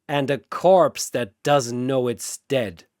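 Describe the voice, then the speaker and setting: A man's voice: medium pitch, takes it slowly, darkish tone, dry recording, studio quality, natural voice. A man voicing a cold, haughty, godlike swordsman villain with an imperious, disdainful delivery in a battle story.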